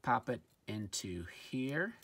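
A man's voice: medium pitch, sing-song, slow, fairly bright tone. A man giving a casual hands-on product demonstration, informal and a little hesitant, as if thinking aloud. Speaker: presenter of a gadget review. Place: indoors at a tabletop. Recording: faint background noise with handling clicks.

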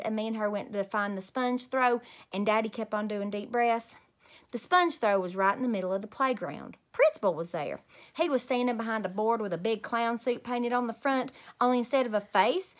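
A woman in her thirties reading a storybook aloud expressively as a host for young children. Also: fairly high pitch. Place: small home room indoors.

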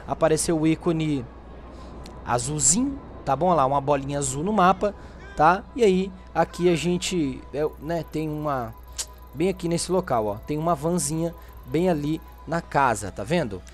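A man's voice: on the high side, lively intonation, moderate pace. A Brazilian man giving casual game tutorial commentary, informal, often tagging sentences with little check-in questions. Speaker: gaming video host. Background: background music.

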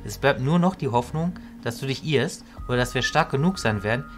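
Man playing a calm, wise illusionist mentor. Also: mid-range voice, soft background music, lightly acted. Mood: grave, resigned. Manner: measured, sage, didactic.

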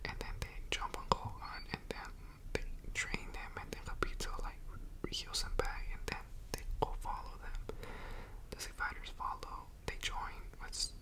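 A young man speaking in a breathy, unvoiced whisper, rambling informally and slangily in a casual ASMR style, close to a microphone in a home room. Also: low-quality recording. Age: young adult. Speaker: ASMR video creator reviewing a show. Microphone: large USB microphone very close to the mouth.

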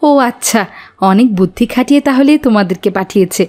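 Fast-talking village mother voiced by a woman. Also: medium pitch, darkish tone, natural voice. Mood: hospitable. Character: warm-hearted village mother, hospitable auntie. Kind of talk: cartoon dialogue.

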